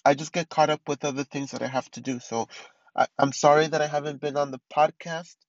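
A man's voice: a little high, little pitch movement, fairly quick, no echo, dull audio. A man in his twenties hosting a casual solo podcast, rambling with many restarts and fillers. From East Los Angeles. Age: 20s. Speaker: podcast host.

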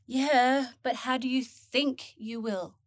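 A woman's voice: sits high, steady tone, slow pace, no room sound, clean studio sound. A woman playing a blunt, sarcastic and grumpy young dead woman in a fantasy audio drama. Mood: blunt, teasing. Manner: sarcastic, casual, slangy.

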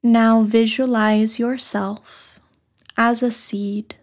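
A woman leading a guided meditation in a soft, breathy, soothing voice, speaking short phrases with long pauses. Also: a little high, flat delivery. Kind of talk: guided meditation, scripted narration.

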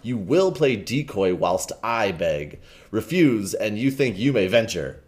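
A man's voice: medium pitch, big pitch swings, brisk, a little mellow, close to an everyday voice. A man reading aloud as a scheming young male beggar-thief, in old-fashioned storybook speech. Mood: bullying.

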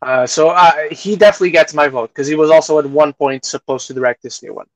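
Higher-voiced man, opinionated podcast host. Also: fast talker, dry recording, rough recording. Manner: opinionated, swears casually. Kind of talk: casual film discussion.

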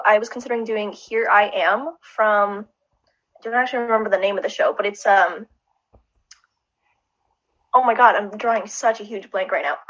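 A woman who is a finalist guest, chatting excitedly in a casual way. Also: on the high side, highs cut off. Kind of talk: casual chat.

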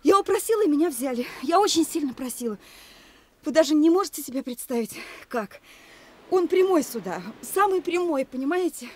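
A woman's voice: high voice, animated, brisk. An actress playing a flustered, tender, earnest woman in her thirties in a radio play, nervously repeating herself. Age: 30s.

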